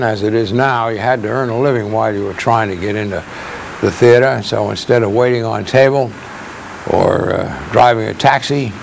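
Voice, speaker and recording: Older American male, veteran stage and radio actor, creaky low register, unscripted reminiscence, faintly noisy recording.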